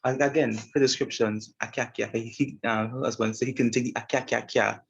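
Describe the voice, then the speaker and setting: Trinidadian man, hesitant talk, husky voice. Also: mid-range voice, flat delivery, a little fast. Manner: hesitant, many fillers and restarts. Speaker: student presenter. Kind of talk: informal talk about an interview. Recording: muffled, lo-fi sound.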